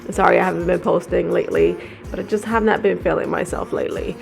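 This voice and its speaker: A young woman talks casually to her viewers, rambling a little with fillers and the odd laugh. Her voice sits low and gravelly, husky from a cold. Soft background music plays beneath her. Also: fairly even intonation, fast talker. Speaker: vlogger. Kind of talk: casual chat to camera.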